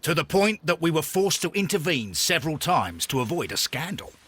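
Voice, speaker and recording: A highish, husky male voice for an earnest Victorian police inspector in a video game. He is gossipy and emphatic, with a forceful edge and lively swings in pitch. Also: fairly quick, full character voice. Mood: grave, emphatic.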